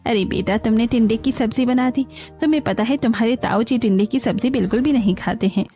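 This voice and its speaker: A woman's voice: on the high side, a little fast, dark tone, soft background music, lightly acted. A woman voicing a fussy, demanding older aunt who is a guest in a cartoon. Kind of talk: Hindi cartoon dialogue.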